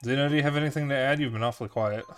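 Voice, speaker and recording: A man's voice: mid-range voice, very fast, smooth, faint music behind. Chatty man with a Chicago accent. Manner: chatty, explains lore in detail. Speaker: streamer. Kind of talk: casual gaming chat.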